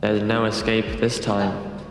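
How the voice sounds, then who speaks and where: An actor playing a menacing thing posing as a man, speaking slowly and hollowly through heavy reverb in an audio drama. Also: lowish voice, little pitch movement. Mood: hollow menace. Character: menacing blank-eyed thing posing as a male friend. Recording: long echoing reverb, faint music.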